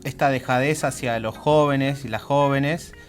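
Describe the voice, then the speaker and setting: Guy hosting radio chat, kinda halting and tentative, casual, guitar music in the back. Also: mid-range voice, steady tone. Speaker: radio show host. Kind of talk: casual conversational interviewing. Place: radio studio.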